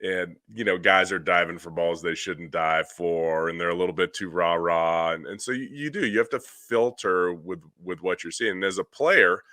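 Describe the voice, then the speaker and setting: Hitting coach, man, low pitch, dry mic, explains with many restarts. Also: moderate pace, few pauses, sharp tone, dry recording. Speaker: hitting coach and podcast host. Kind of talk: casual podcast discussion.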